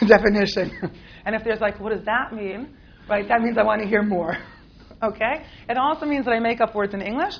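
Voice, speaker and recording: A woman's voice: mid-range voice, lo-fi sound, slight background noise. A woman with an American accent, who grew up in Israel, teaching a class in a chatty, playful way with lots of fillers and laughs. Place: classroom with a live group.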